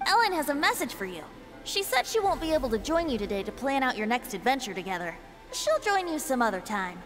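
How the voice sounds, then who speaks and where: An actress playing a courteous, brisk young female investigator in a video game, speaking formally and politely. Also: high voice, very animated. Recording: long reverb, game music behind.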